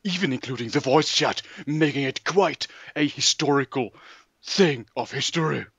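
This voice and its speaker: A man's voice: mid-range voice, gravelly, a bit bright, breathy edge, no echo. A man playing a pompous, mock-solemn documentary presenter in an improvised comedy sketch. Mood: solemnly impressed. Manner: grandiose, hesitant, deliberately silly.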